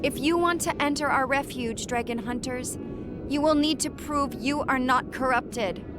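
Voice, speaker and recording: An actress playing a stern, wary guardian of a refuge in a video game, speaking formally and with command. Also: female voice, sits high, normal speed, music in the background, lightly acted. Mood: suspicious, stern. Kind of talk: video game cutscene acting.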